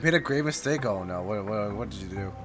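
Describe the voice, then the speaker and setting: A man's voice: lowish voice, expressive, fairly quick, over music. A man doing casual, informal live reaction commentary on a game and chatting to viewers.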